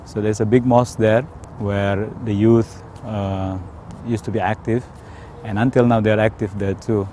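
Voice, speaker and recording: A man from Indonesia with an Indonesian accent, telling his personal story in a hesitant, careful way with frequent pauses. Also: lowish voice, level tone, dark tone, a little hiss or hum. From Indonesia, South Sumatra. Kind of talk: unscripted personal testimony.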